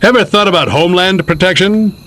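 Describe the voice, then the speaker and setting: A man's voice: sits high, a little fast, sharp tone. An actor playing a slick, scheming 1950s government agent with pushy salesman patter in a video game parody. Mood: persuasive.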